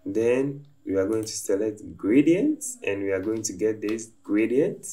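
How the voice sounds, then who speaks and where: A man calmly talking through a design software tutorial step by step as he works at his computer. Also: fairly low pitch. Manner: slow, step-by-step instructive talk. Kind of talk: screen-recorded software tutorial narration.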